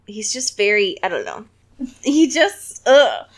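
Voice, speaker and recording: A woman's voice: medium pitch, huge pitch swings, measured pace. A woman podcast co-host in casual, dramatic fangirl chat about a book, full of slang and giggles. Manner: giggly, laughs often.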